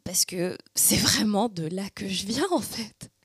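A young adult woman from the Paris region talking through a personal reflection in a thoughtful, searching way, with many pauses and fillers. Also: mid-range voice, fairly bright tone, slightly rough, no echo, studio quality. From Paris region, France. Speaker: speaker sharing personal testimony.

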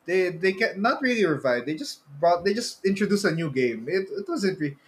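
Male podcast co-host, Filipino accent, slightly high register, loose rambling conversational delivery. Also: big pitch swings, moderate pace, husky. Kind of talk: casual chat about films and games.

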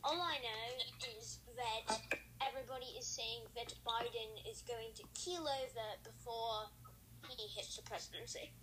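A woman's voice: a little high, unhurried, noisy background. A woman with an Australian accent chatting on a video call, rambling and hesitant with many fillers. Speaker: participant on a group video call. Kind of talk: casual chat.

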